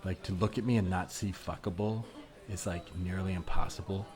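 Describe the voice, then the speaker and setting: Man playing a low-voiced, self-absorbed flirty woman. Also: steady tone, normal speed, a crowd in the background, lightly acted. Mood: earnest, overwhelmed. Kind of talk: improvised comedy dialogue. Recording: noisy remote recording.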